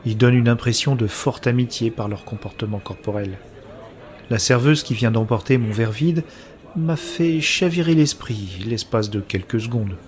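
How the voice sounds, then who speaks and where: An actor playing a brooding, anguished man who narrates his own science-fiction story in measured, literary, introspective phrasing. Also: medium pitch, darkish tone, lightly acted. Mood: wistful, contemplative. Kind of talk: scripted first-person narration of sci-fi audio drama.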